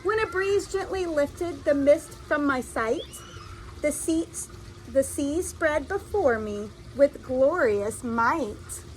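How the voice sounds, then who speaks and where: Female storyteller for children, lively swooping intonation, unhurried teacherly delivery, light noisy ambience. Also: high-pitched. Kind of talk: expressive read-aloud storytelling for children.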